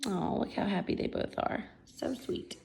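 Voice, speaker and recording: A woman's voice: medium pitch, moderate pace, gravelly, darkish tone, slight background noise. A woman reading a picture book aloud to children, expressively explaining the pictures as she goes. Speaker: story reader for children. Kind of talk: picture book read-aloud with simple commentary.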